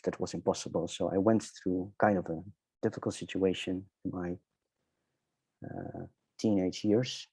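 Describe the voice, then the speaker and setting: Deep, gravelly middle-aged man with Dutch accent. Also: dark tone, dry recording. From Netherlands. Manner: halting, searching for words. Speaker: interview guest. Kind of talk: reflective personal storytelling in conversation.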